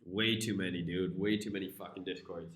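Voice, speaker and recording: A man's voice: sits low, steady tone, a little fast, fairly bright tone, roomy sound. A young man with a flu-congested voice hosting a casual live stream, laid-back and profane as he talks through charts.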